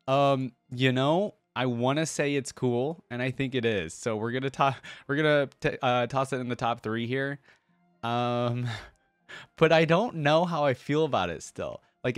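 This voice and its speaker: A man's voice: mid-range voice, no echo. A man talks casually as the host of a reaction video, with a mid-range voice. His delivery is informal and slangy, speaking straight to his viewers like a fellow fan. The sound is dry, with very little room on it.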